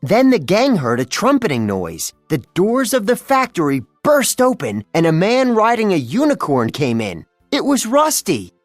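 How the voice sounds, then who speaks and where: A narrator reading a children's read-along storybook in an expressive storytelling manner. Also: mid-range voice, moderate pace, few pauses, dry recording, studio quality, faint music behind.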